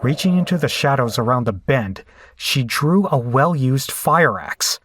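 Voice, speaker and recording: A man's voice: medium pitch, expressive, a little slow, fairly bright tone, a little hiss or hum. A man playing a brash, rattled young rocker in a horror audio drama, colloquial, incredulous and foul-mouthed. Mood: shaken narration. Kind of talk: horror audio drama acting and first-person narration.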